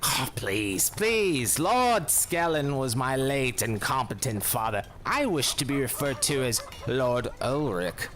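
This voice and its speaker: A man's voice: lively intonation, slow pace, hardly any pauses, fairly bright tone, a little hiss or hum. An actor playing a vain, courtly young lord in his thirties, florid and theatrical, turning bitter and brooding. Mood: flattering. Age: 30s. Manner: florid, swooping and courtly, sinking low and brooding when upset. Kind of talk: audio drama acting. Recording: music bed under the voice.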